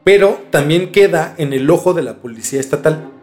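A man's voice: fairly high pitch, expressive, a little mellow. Mexican man chatting, music behind. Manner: informal, halting while searching for words. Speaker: podcast host. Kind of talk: casual conversational storytelling.

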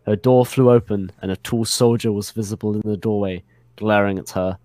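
A man's voice: fairly low pitch, fairly even intonation, moderate pace, warm tone, no room sound. A man narrating a comic dramatic reading of fan fiction with mock solemnity.

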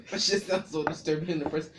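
A man's voice: fairly high pitch, steady tone, fairly quick. A young man co-hosting a casual podcast, blunt and swearing a lot, reacting with disgust. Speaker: podcast co-host. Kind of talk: casual chat. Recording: loud chatter in the background.

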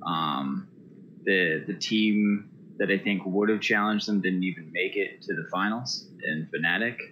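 A man's voice: low-pitched, measured pace, dull audio. A man with an American accent calling in to a show to give his opinion casually. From Iowa, United States. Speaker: caller to an esports show. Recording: noisy voice-chat call.

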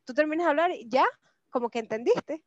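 Venezuelan woman co-host, high voice, teasing and quick, dry dull mic. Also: darkish tone, no room sound. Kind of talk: casual chat.

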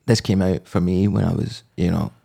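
A man in his thirties with a low voice, co-hosting a casual podcast and trading dry, crude jokes. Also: steady tone, moderate pace, vocal fry, dark tone. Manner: dry, jokey, crude humor. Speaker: podcast co-host. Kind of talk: casual chat.

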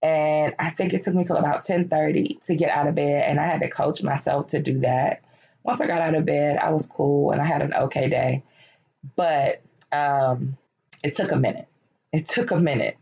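A woman in her late thirties hosting a podcast, talking candidly and encouragingly about her life, her voice hoarse and cracking as she loses it. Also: low voice, steady tone, normal speed, darkish tone. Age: late 30s. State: losing her voice, slightly cracking. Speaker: podcast host. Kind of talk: personal reflective monologue. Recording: lo-fi, dull recording.

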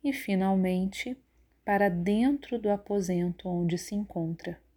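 A woman leading a guided yoga nidra relaxation in a calm, measured, instructive way. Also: mid-range voice. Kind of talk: guided relaxation meditation narration.

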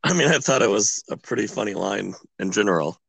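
Brief: hoarse male co-host, gravelly low voice, relaxed storytelling. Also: fairly quick, dry recording. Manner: relaxed storyteller, clears throat often. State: hoarse from illness. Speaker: podcast co-host. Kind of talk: casual movie podcast chat.

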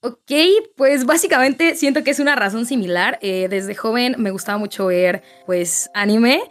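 A woman in her twenties with a Mexican accent, a language teacher answering gently and concisely. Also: a little high, moderate pace, faint music behind. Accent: Mexican Spanish. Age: 20s. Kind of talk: casual group chat.

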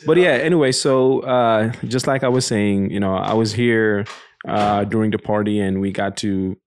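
A man's voice: on the low side, normal speed, a little hiss or hum. A man hosting a podcast from a guest's home, loose and friendly, repeating words and giving short encouraging replies. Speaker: podcast host. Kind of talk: casual interview chat.

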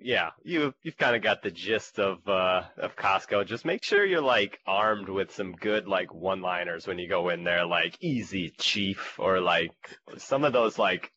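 A man's voice: deep voice, expressive, measured pace, bright, forceful tone. A man co-hosting a podcast, chatting casually and joking in a laid-back way. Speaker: podcast co-host. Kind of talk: casual chat. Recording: dull lo-fi sound with faint noise.

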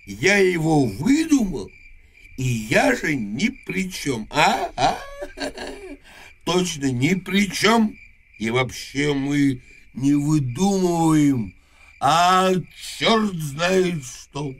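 Hoarse drunken old man, swooping wildly in pitch. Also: sing-song, heavily acted. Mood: brooding, muttering. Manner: slurred, halting drunken rambling with muttered questions and outbursts. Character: drunken, bitter old inventor priest, rambling and self-accusing. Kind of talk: Russian radio drama acting.

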